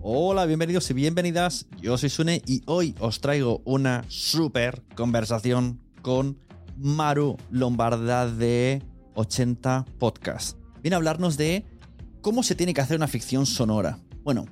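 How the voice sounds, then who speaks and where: A man's voice: mid-range voice, measured pace, darkish tone, faint music behind. A man with an accent from Spain, hosting a podcast in a casual, conversational style. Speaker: podcast host.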